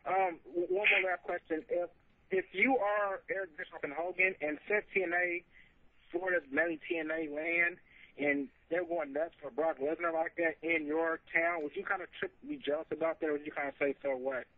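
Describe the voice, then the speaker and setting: A man's voice: fairly high pitch, lively intonation, moderate pace, gravelly, no room sound. A man calling in to a radio show who rambles and hesitates, often repeating words as he asks his questions. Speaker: caller. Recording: tinny phone line.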